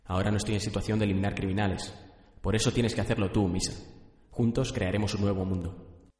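A man's voice: on the low side, fairly even intonation, quick pace, a little mellow, husky. A man playing a cunning, self-righteous young vigilante in a radio drama, controlled and insistent. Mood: scheming. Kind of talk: radio drama adaptation of anime.

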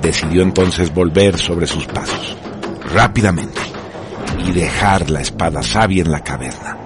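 Male narrator for radio drama: lowish voice, solemn, declamatory delivery over prominent music. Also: unhurried.

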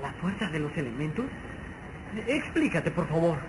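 A man's voice: fairly high pitch, very animated, normal speed. An actor playing an anxious, curious boy sidekick in a vintage radio serial, pleading and wondering. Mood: puzzled, urgent. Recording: noisy old radio serial recording.

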